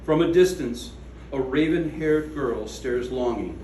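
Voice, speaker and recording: A man's voice: mid-range voice, warm tone, a little hiss or hum. Man reciting a poem in an echoey gallery. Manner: slow, measured recitation with falling line endings. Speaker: poet reading his poem. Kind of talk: poetry reading at a gallery event. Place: art gallery room. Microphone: away from the microphone. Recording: echoey room from a distance.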